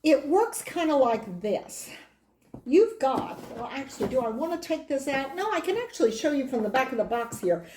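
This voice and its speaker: A hoarse middle-aged woman hosting a board game channel, chatting casually in a rambling way with lots of side remarks. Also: sits high, sing-song, normal speed, darkish tone, a little hiss or hum. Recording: roomy echo.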